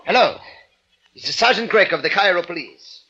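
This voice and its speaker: An actor playing an officious, pompous Egyptian police sergeant in an old radio drama, formal, insistent and easily flustered. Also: male voice, high-pitched, animated, brisk, pushed and bright, slightly rough, full character voice. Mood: commanding. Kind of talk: 1940s-50s radio drama acting. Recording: muffled old radio recording, faint noise.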